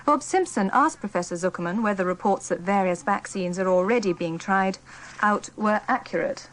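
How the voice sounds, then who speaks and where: A woman's voice: mid-range voice, moderate pace, poor audio. Formal female newsreader, faint hiss. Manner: formal, polite. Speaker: radio newsreader. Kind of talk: scripted news reading.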